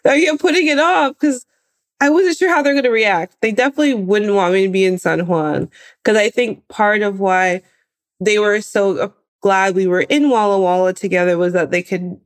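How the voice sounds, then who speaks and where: A woman in her twenties from California, telling her own story in a lively, animated way. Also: mid-range voice. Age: 20s. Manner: lively, animated retelling with laughs. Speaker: guest. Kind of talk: personal storytelling.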